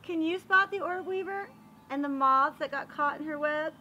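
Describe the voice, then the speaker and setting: A woman reading a picture book aloud to young children, animated and expressive, pausing to explain and ask questions. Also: sits high, dull audio, slight background noise. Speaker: read-aloud storyteller for children.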